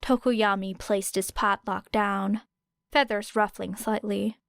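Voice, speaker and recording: A woman's voice: mid-range voice, fairly even intonation, takes it slowly, gravelly, dry recording, studio quality. A woman reading scripted fiction as an audiobook narrator.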